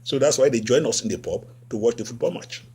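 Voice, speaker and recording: A man's voice: quick pace, breathy edge. A Nigerian man hosting a satirical talk show, commenting with sarcasm and repeating phrases for effect. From West Africa. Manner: sarcastic, repeats phrases, animated swings up in pitch. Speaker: host.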